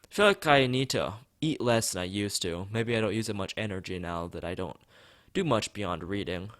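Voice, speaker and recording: A man's voice: fairly low pitch, normal speed, fairly bright tone, no room sound, studio quality. A man reading a visual novel aloud as a let's player, adding commentary and often stumbling and rereading lines.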